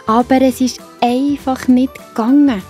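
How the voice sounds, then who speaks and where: A woman with a Swiss accent narrating a story for children in a simple, expressive way. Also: high-pitched, a little slow, faint music behind.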